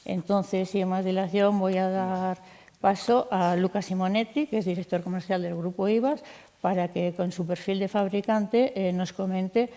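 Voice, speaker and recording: A middle-aged woman moderates a panel discussion in a long, discursive way. Her voice sits mid-pitch and carries long reverb from a large hall. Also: fairly even intonation, normal speed, mellow. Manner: discursive, many fillers and restarts. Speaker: moderator, architect. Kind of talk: round-table panel introduction. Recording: echoey hall.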